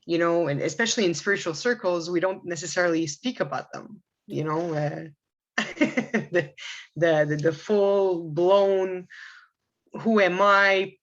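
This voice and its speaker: A soft-spoken man from Quebec whose first language is Arabic, talking hesitantly with many restarts in a casual podcast conversation. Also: high-pitched, a little slow. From Quebec, Canada. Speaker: guest. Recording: dull lo-fi audio.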